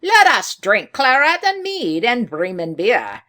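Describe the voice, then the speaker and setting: A narrator voicing a rowdy, hearty storybook tavern guest with loud, drawn-out bellowing. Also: medium pitch, huge pitch swings, pitched above the performer's own voice, exaggerated voice. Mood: boisterous.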